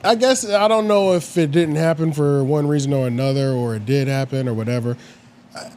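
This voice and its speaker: A man's voice: a little high, brisk, darkish tone. An American man in casual podcast chat as a co-host, slangy and often repeating himself in agreement.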